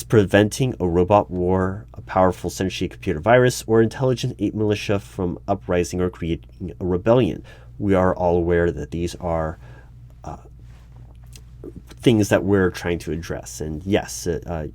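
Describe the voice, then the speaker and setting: A man's voice: deep voice, darkish tone. A man playing an exasperated, bureaucratic human resources manager in a one-sided interview roleplay, hesitating and stammering when flustered. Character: exasperated, officious human resources hiring manager at secretive agency. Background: computer keyboard typing.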